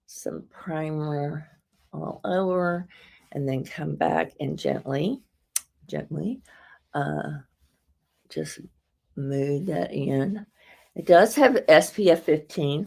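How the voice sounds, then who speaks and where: Casting: woman host, low and a touch raspy, chatty and rambling. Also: expressive, slow pace. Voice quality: slightly raspy. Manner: chatty, rambling, laughs often, warm asides to viewers. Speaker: host presenting beauty products. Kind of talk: casual live product demo.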